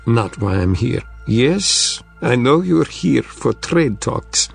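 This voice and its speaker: Sly Russian strategist, read by a man: thick accent, clipped broken phrasing, gravelly, faint music under it. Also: mid-range voice, very animated, slow, exaggerated voice. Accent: thick Russian accent, put on. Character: Russian male strategist, sly, blunt, wry.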